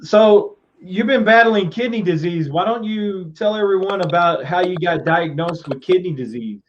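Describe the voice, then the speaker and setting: A man with a somewhat high voice hosts a podcast over a video call, asking plain questions and dropping in short "yep"s and "okay"s. The audio is dull and lo-fi, with a faint hiss. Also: moderate pace. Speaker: podcast host. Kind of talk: interview hosting. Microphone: video call webcam.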